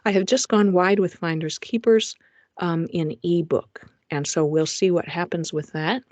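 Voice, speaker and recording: A woman's voice: on the low side, steady tone, normal speed, darkish tone, dry recording. A middle-aged woman, a romance author, presenting her publishing plans over slides in a chatty way, with frequent fillers and restarts, recorded in a home recording booth.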